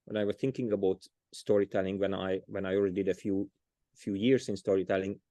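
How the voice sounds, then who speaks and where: A man's voice: low voice, little pitch movement, fast, mellow, dry recording. A man with a Hungarian accent, a storyteller being interviewed, talking casually and often restarting as he searches for words. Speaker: storyteller, guest.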